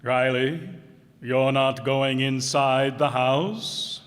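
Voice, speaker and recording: Slow, solemn man playing a morbid undertaker, echoey hall. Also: medium pitch, steady tone. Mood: ghoulishly wistful. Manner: slow, solemn, formal delivery. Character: morbid, genteel male undertaker with macabre wit. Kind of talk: old-time radio comedy acting. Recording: echoey hall stage performance.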